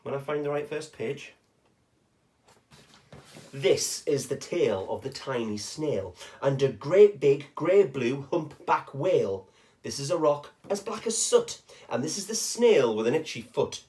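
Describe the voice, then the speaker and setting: A man's voice: medium pitch, lively intonation, measured pace, a little mellow. A man reading a rhyming children's picture book aloud expressively, with short chatty asides to young listeners.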